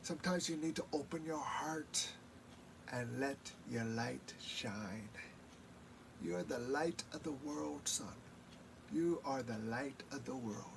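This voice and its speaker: A man doing a hushed, gentle grandmother. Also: mid-range voice, slow, gravelly, far from the mic, noisy background. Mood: tender. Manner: soft, hushed, whispery.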